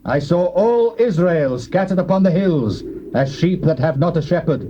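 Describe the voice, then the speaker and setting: A solemn, unbending prophet, played by a man declaims scripture in a high, mellow voice. His delivery is measured and theatrical, on a muffled old tape. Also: lively intonation, natural voice. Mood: solemn, defiant. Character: defiant, solemn male prophet of God. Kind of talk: dramatized Bible reading, declamatory stage acting. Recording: muffled old cassette, faint noise.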